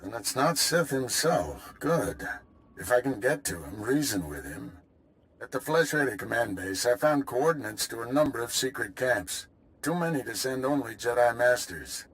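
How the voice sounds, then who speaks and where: An actor playing a grave older Jedi master who gives orders in a measured, commanding way. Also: male voice, mid-range voice, sing-song, poor audio. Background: game score music.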